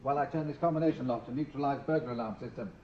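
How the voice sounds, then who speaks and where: An actor playing an earnest, proud, eccentric inventor with a Northern English accent and clipped phrasing, in a radio drama. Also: male voice, mid-range voice, very fast, natural voice. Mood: proud, precise. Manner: zealous, clipped, drops articles. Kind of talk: British radio drama acting. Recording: dull, noisy old radio drama recording.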